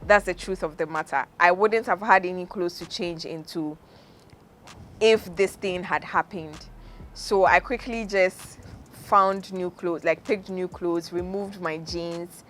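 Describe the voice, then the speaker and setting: A young woman with a Ghanaian accent tells a personal travel story on her podcast, laughing often along the way. Her voice sits a little low. Soft music plays faintly behind her. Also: fairly even intonation, measured pace. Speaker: podcast host. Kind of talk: casual storytelling.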